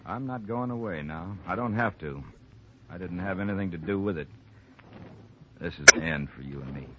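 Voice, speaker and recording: A man's voice: low voice, moderate pace, close to an everyday voice. An actor playing a grim American rancher in a 1950s radio drama, curt and menacing in his speech. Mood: firm rejection. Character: grim, agitated gun-wielding rancher, refusing a woman's pleas. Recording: noisy, muffled vintage radio recording.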